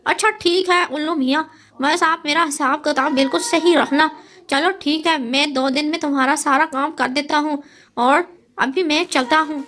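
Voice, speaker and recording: A woman's voice: high voice, fairly even intonation, moderate pace, a bit bright, voice pushed up. A woman voicing a greedy, impatient male crow henchman in a children's cartoon, with an eager, nagging manner. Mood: insistent.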